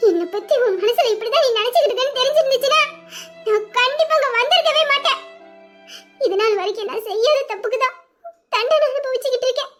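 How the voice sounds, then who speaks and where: A woman's voice: very high-pitched, a little fast, fairly bright tone, faint music behind. A woman playing a pleading young sweetheart. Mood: tearful. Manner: pleading, coaxing, breaking into tears. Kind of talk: audio drama acting.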